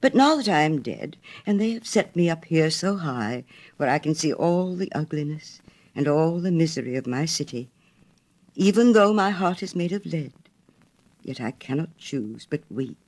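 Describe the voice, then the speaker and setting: A woman voicing a sorrowful, noble prince's statue in a storybook, low and musical, with a stately, measured manner. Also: animated, darkish tone. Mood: wistful, sorrowful. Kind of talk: storybook character reading.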